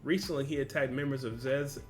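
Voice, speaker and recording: A man's voice: mid-range voice, steady tone, brisk, faint music behind. A man reading a story aloud for a reaction video, often stumbling and correcting himself, with casual slang asides.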